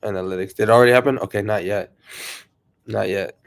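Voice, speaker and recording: A man's voice: fairly low pitch, quick pace, creaky. Sniffly man rambling on a livestream. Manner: rambling, excitable, talks to viewers. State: sniffly from allergies. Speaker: YouTuber livestreaming. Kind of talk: casual livestream chat.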